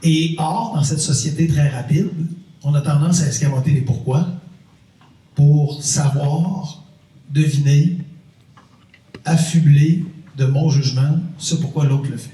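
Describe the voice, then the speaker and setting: A man's voice: on the high side, unhurried, pauses often, warm tone. A middle-aged man with a Québécois French accent, giving an informal talk to a university audience, chatty and repeating phrases for emphasis. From Quebec. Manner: conversational, repeats phrases for emphasis, jokes with the crowd. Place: university lecture hall. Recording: echoey hall, mic at a distance.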